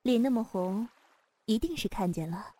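A woman's voice: on the high side, lively intonation, moderate pace, warm tone. Teasing, seductive woman sect leader played by an actress. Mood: cool, aloof. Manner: coy, teasing, flirtatious. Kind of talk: Chinese wuxia audio drama acting. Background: music.

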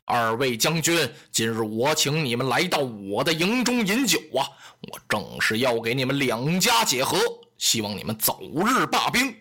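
A haughty warlord general declaims his orders in a low, stately voice, put on by a storyteller. The voice is husky and bright, with wide swings of pitch. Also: very animated, moderate pace. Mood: commanding. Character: proud commanding warlord general.

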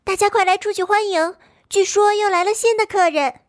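Actress as childlike villager, tiny high voice, cheerful, welcoming, audio drama, dry sound. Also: female voice, a little fast, dry recording. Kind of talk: Chinese sci-fi radio drama acting.

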